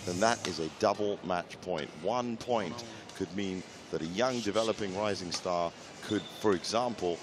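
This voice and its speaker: A man giving live match commentary in an explanatory, measured way, his voice a little hoarse. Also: deep voice, music in the background. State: slightly hoarse, recovering voice. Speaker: sports commentator.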